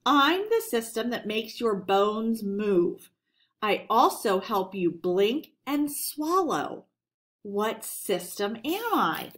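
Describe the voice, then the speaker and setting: A woman teacher leads a read-aloud lesson for schoolchildren. She speaks at an unhurried pace with a lively, varied pitch. Her delivery is instructional and addressed straight to the pupils.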